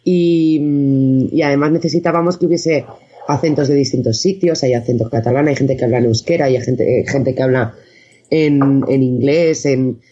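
A woman's voice: extremely low pitch, normal speed, dark tone. A woman from chatting casually as an interview guest. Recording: dull, band-limited sound.

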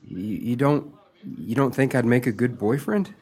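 A man's voice: medium pitch, expressive, a little slow, a little mellow, husky. An actor playing a nervous, lovesick, agreeable young man in a radio play, earnest and stammering. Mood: pleading.

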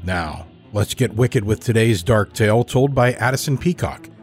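A man hosting a horror podcast, reading a content warning and show announcements in a measured way. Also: lowish voice, faint music behind. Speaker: podcast host. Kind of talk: scripted podcast intro.

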